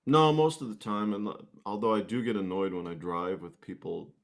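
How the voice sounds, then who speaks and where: A man from the United States chatting casually as an interview guest, with a mellow, laid-back manner. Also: fairly low pitch, fairly quick. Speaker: singer-songwriter guest.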